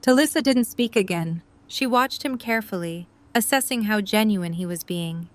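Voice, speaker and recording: A woman serves as narrator, reading a scripted story. Her voice is of middle pitch, delivering third-person prose for an audio drama. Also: moderate pace.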